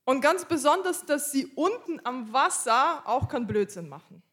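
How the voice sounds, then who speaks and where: A woman interpreting a pastor's sermon live in church, quick and casual, with small slips and restarts. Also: high-pitched, expressive, a bit bright.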